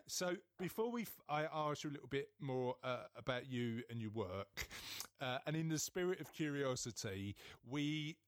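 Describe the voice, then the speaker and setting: A man from East London with a London accent, hosting a podcast interview in a chatty, self-mocking way with halting restarts. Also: mid-range voice, no room sound, clean studio sound. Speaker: podcast host. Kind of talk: casual interview chat.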